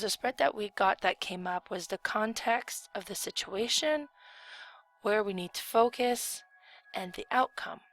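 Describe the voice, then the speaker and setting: A woman's voice: medium pitch, fairly bright tone. A woman giving a casual tarot reading on video, explaining each card in a chatty way with frequent ums. Her voice is still recovering from a cold. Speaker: tarot reader.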